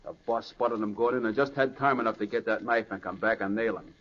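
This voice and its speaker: An actor playing a gruff, slangy crook henchman in a 1940s radio drama, with a husky voice. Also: lowish voice, a little fast, a bit bright. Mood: scheming. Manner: slangy tough-guy talk. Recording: muffled 1940s radio recording.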